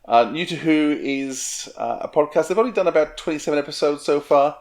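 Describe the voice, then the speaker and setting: A man's voice: on the high side, fairly quick, a little mellow. A man, co-hosting a podcast in casual conversation. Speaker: podcast co-host. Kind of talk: casual chat.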